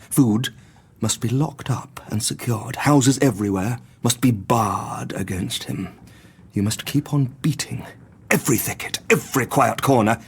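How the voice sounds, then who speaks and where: A man playing an earnest, grave doctor in an audio drama, speaking and narrating in an articulate, measured way. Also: medium pitch, slightly rough.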